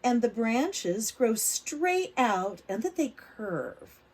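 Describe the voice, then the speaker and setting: A woman reading a picture book aloud to preschoolers, expressive, stretching words for emphasis and putting questions to the children. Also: a little high, slow pace, slightly rough. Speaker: read-aloud storyteller for preschoolers.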